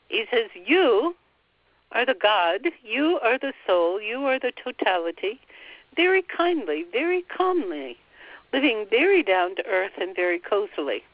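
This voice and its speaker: A woman with a slightly high voice leads a guided meditation at a very slow, deliberate pace. She softly relays a spirit guide's message phrase by phrase. The recording is dry and a bit dull, lo-fi. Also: very animated, no room sound. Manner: soft, relaying every phrase with "he says".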